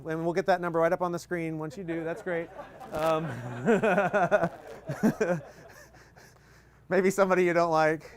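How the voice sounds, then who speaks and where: Man in his thirties, slightly high voice, easygoing demo presenter who talks things through and cracks small jokes; recorded under an outdoor tent. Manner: casual, joking, explains step by step with many fillers. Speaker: presenter at a live equipment demonstration. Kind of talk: live technical demo talk.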